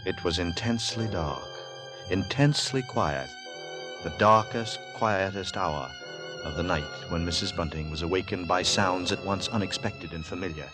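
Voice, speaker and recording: A man narrating in 1940s radio drama style, literary, deliberate and suspenseful. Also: low voice. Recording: vintage 1940s radio with music.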